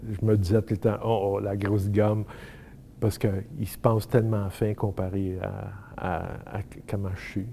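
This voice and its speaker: A man telling about his in a halting, self-mocking way, slipping into English words. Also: on the low side, flat delivery, dark tone, a little hiss or hum. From Manitoba. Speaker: interviewee. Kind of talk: testimonial talk.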